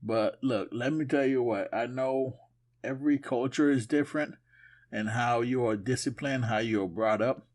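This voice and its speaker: A man's voice: medium pitch, normal speed, a little mellow. A man from Haiti co-hosting a podcast, talking casually in a conversational, storytelling way. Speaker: podcast co-host. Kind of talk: casual chat.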